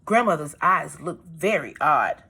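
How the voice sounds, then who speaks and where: A woman reading a storybook aloud to young children as a teacher, pausing to explain things in simple phrases. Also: deep voice, animated, sharp tone, breathy edge. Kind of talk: expressive storybook read-aloud with asides.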